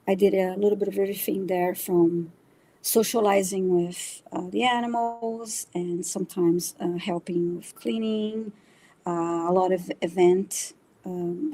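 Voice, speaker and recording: Middle-aged woman, Brazilian Portuguese accent, lowish pitch, hesitant relaxed guest talk. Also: rough recording. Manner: hesitant, many fillers and restarts. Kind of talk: casual interview chat.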